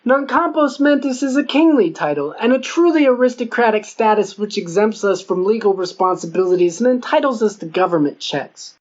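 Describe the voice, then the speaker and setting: A woman's voice: medium pitch, expressive, fairly quick, hardly any pauses, darkish tone. Female audiobook narrator, scripted first-person fiction, mid-range voice, slightly muffled lo-fi recording. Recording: dull lo-fi audio.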